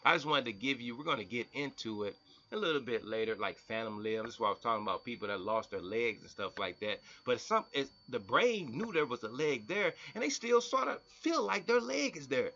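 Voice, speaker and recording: A man's voice: mid-range voice, brisk, lo-fi sound. A man reading science text aloud and breaking off to explain it in his own casual words, often repeating and restarting. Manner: animated, breaks from reading to comment and mimic people. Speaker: health vlogger.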